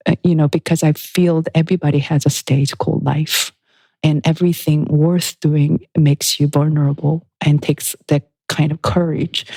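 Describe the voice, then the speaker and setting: A woman, a guest pianist and author, talking in a thoughtful, unhurried way in a studio interview. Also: low voice, no echo, poor audio. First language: Korean. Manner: reflective, unhurried, with soft laughs and restarts.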